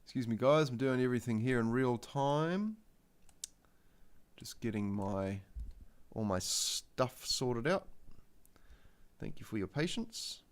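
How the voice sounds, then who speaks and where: A man with a New Zealand accent hosting a casual livestream, talking in a halting, rambling way with many fillers and restarts into a desk microphone. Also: medium pitch, animated. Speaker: livestream host.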